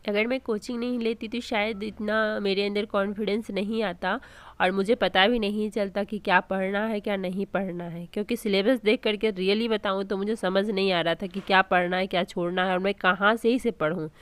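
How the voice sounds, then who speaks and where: A young woman speaks hoarsely through a sore throat, offering earnest, encouraging advice on studying. She talks at a steady mid pitch, with frequent restarts, and the recording is close and dry with no room sound. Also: moderate pace, no echo. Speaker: vlogger studying for exams. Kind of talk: casual motivational talk to camera.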